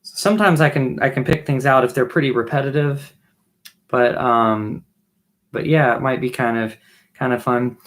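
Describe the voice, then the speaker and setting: Hoarse man chatting on a live stream. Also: medium pitch, moderate pace, a bit bright. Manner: musing, trailing off with restarts while playing. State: losing his voice, throat clearing. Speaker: livestream host and bassist. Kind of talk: casual live stream chat. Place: small home music room, indoors. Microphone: webcam mic at desk distance, wears headphones.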